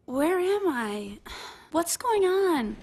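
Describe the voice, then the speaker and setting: A woman's voice: very high voice, very animated, drawn-out words, darkish tone, full character voice. A woman voicing a trusting, earnest female wrestling manager in a video game cutscene, sounding plaintive. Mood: dazed, trusting.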